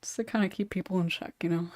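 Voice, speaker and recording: A woman's voice: fairly low pitch, quick pace, no echo. A woman hosting a casual podcast, rambling and interrupting herself, with small chuckles between thoughts. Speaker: podcast host and script writer.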